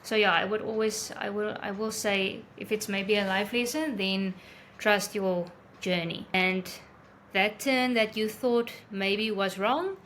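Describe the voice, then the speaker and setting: A woman from South Africa whose first language is Afrikaans, a guest in an interview, friendly and quick to laugh, with hesitant fillers. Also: mid-range voice, steady tone, fairly bright tone, a little hiss or hum. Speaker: guest, photographer and travel blogger. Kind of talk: casual interview chat.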